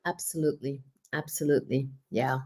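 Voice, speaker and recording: A woman's voice: extremely low pitch, fairly even intonation, brisk, a little mellow, slightly rough. Reflective Dutch-raised woman guest. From Netherlands. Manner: earnest, reflective, laughs easily. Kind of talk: conversational interview, reflective storytelling.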